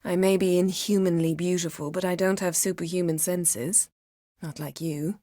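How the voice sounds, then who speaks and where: A woman playing a proud, sardonic, commanding sorceress in a video game, speaking in dry, measured, formal phrases. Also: lowish voice, steady tone, warm tone, no echo, close to an everyday voice. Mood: dry, haughty.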